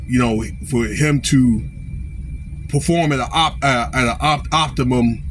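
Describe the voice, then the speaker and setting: A man giving informal, unscripted commentary in a halting way, often checking in with short tags like okay and all right. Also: medium pitch, fairly bright tone, a little hiss or hum. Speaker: online commentator.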